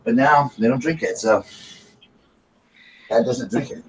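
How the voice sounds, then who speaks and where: A raspy man with a Southern US accent hosts a casual live stream, talking like he is chatting with friends. He sits a little away from his mic, and a faint hum of noise stays under his voice. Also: mid-range voice, unhurried, recorded from a distance, poor audio. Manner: chatty, breaks off to call his dogs.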